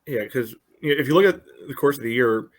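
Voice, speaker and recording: A man's voice: medium pitch, quick pace. A man co-hosting a sports podcast, giving casual analysis in a loose way peppered with filler phrases. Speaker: podcast co-host.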